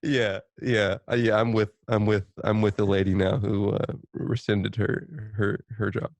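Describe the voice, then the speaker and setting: A man's voice: lowish voice, flat delivery, measured pace, creaky, no room sound. A man co-hosting a podcast in casual chat, hesitant and rambling, repeating himself and swearing casually. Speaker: podcast co-host.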